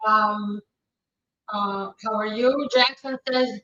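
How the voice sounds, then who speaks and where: A woman attorney, originally from Ukraine, hosting a live stream and reading viewers' questions aloud. She answers each one briskly and matter-of-factly, with frequent fillers. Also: medium pitch, steady tone, bright, forceful tone, a little hiss or hum. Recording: roomy, distant, dull live-stream audio.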